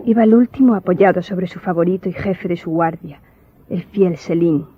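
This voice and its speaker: Melancholy young Greek noblewoman, low-voiced. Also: slightly rough, no room sound. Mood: solemn, remembering. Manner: solemn, formal, measured storytelling. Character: melancholy young Greek noblewoman recounting her childhood memories. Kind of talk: radio drama acting. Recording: muffled audio with music behind.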